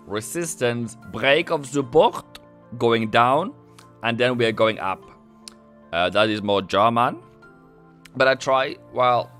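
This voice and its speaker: A man's voice: mid-range voice, fairly bright tone. A man from Norway chatting casually on a live trading stream. He rambles, laughs often and restarts his sentences a lot. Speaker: forex trader on a live stream.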